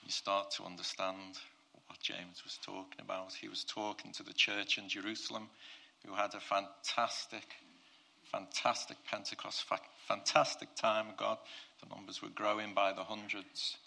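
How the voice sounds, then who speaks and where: A man preaching to a congregation, with a croaky voice that he mentions himself. He talks earnestly and often repeats and restarts his phrases. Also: fairly low pitch, level tone, normal speed, sharp tone. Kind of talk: prayer and sermon to a congregation.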